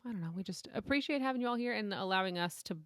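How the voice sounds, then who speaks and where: A woman co-hosting a casual book chat podcast, chatty and quick to laugh. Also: mid-range voice, expressive, no echo, studio quality. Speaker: podcast co-host.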